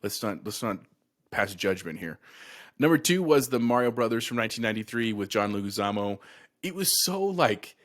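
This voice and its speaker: Middle-aged man, lower register, enthusiastic, casual podcast co-host. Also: sing-song, fairly quick.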